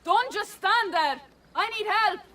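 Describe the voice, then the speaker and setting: A woman's voice: very high voice, animated, measured pace, a bit bright, a little hiss or hum, heavily acted. An actress playing a poised, commanding noblewoman, teasing and self-assured in a game cutscene. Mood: indignant. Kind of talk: video game cutscene acting.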